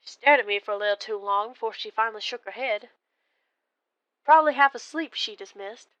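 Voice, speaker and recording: A woman narrating a first-person ghost story in a deliberate, expressive, dramatic way. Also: on the high side, dry recording, rough recording. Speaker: storyteller calling in to a horror radio show.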